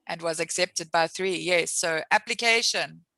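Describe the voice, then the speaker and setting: A woman's voice: lowish voice, measured pace, gravelly, sharp tone, no room sound. A woman teaching an English lesson over a video call, patiently explaining words with examples and encouraging her students.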